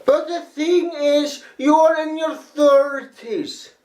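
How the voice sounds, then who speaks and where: A man's voice: extremely high pitch, fairly even intonation, slow, darkish tone, reverberant. A man reading a prepared critique in a blunt, profane, lecturing manner. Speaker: video critic. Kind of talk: reading a prepared response video.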